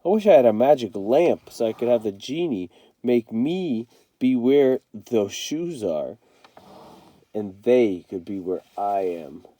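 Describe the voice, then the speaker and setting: A man's voice: medium pitch, lively intonation, slow, mellow. Man voicing a frightened young boy, dramatic and pleading. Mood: wishful. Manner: dramatic, pleading, talking to himself. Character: frightened, imaginative young boy in danger of drowning.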